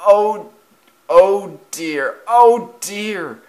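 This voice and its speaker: Man as an anxious high-pitched goods engine. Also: lively intonation, very slow, heavily acted. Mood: groaning. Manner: alarmed, repetitive exclamations. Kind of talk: children's railway storybook voice.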